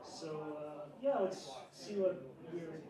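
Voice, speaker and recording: A man's voice: on the high side, takes it slowly, heavy reverb, distant mic, crowd noise. A man, a musician, giving casual stage banter in a small live venue. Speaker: musician addressing audience.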